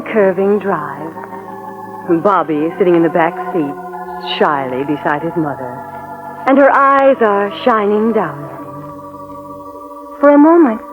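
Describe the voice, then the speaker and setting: A somber young heroine telling her tale on old-time radio. She speaks slowly and wistfully over clear organ music, on a tinny vintage recording. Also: medium pitch. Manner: measured, dramatic, wistful. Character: somber young heroine recalling past terror. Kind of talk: 1940s radio drama first-person narration.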